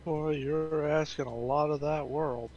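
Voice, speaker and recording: A man's voice: on the high side, fairly even intonation, a little slow, darkish tone, dull audio. A man chatting casually as a fellow player over online game voice chat, with noise around him.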